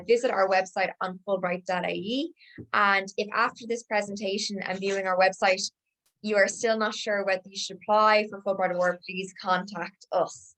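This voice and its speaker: A woman, a senior awards manager, giving a brisk and informative webinar presentation. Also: mid-range voice, fairly bright tone, poor audio.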